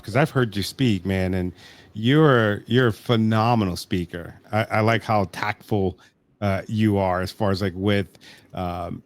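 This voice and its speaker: A man's voice: lowish voice, no room sound. A man hosting a podcast interview, enthusiastic and admiring, reacting with frequent "wow"s and restarting his questions. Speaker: podcast host. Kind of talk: casual interview talk.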